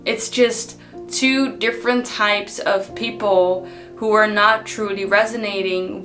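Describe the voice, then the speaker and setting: A woman from the Netherlands, giving an unhurried, reflective motivational talk to her viewers with frequent ums. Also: medium pitch, fairly bright tone. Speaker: personal development vlogger.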